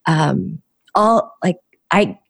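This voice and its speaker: A middle-aged woman who grew up speaking Korean first tells her story as a podcast guest. She talks slowly, in a low, unhurried voice, and often stops to restart a thought. The sound is dry and close. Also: big pitch swings, vocal fry, slightly rough, no room sound. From United States. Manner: thoughtful, halting, with many restarts and fillers. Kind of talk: reflective storytelling interview.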